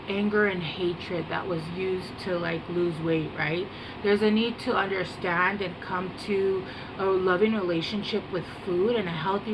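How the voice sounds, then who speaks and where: A woman talks her viewers through a tarot reading in a soft, unhurried voice of middling pitch. She wanders off track, pauses to search for words and fills the gaps with little hesitations. A steady air-conditioner hum runs under her voice in a room at home. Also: muffled recording. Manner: soft-spoken, meandering, hesitant with many fillers. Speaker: tarot reader. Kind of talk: casual rambling tarot reading to camera.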